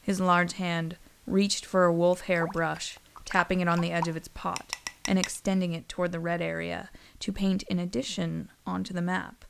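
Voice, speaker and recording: Low, creaky woman narrator. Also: flat delivery, normal speed, noisy background. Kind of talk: scripted story narration.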